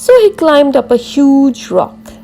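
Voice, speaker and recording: Woman teacher reading a kids story, really animated, slowish and careful, soft music behind. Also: high-pitched. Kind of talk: expressive read-aloud story for children.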